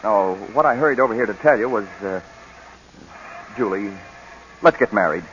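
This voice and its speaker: A man's voice: lowish voice, animated, normal speed, fairly bright tone, a little hiss or hum. An actor playing an eager, ardent young American newspaperman in love, in 1940s radio drama style. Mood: eager, urgent. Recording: dull old radio recording, faint music.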